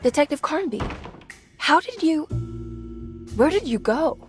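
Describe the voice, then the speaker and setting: A woman's voice: sits high, very animated, a little slow, soft background music. An actress playing a worried, insistent woman in a video game, earnest and rather formal in her pleading. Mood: anxious, insistent. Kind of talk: cinematic video game voice acting.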